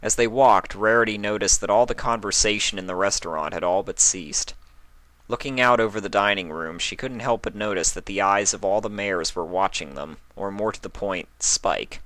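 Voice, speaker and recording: A man reads a story as narrator in a lowish voice. The tone is bright and forceful, and the recording is dry and close. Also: moderate pace, no echo.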